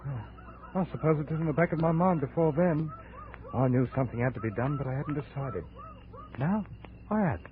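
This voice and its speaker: Brooding jungle trader, highish male voice, acted. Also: lively intonation, moderate pace, pauses often, lightly acted. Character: big, clumsy, grimly determined male jungle trader. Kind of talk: 1950s radio drama acting. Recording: narrow, noisy 1950s radio recording.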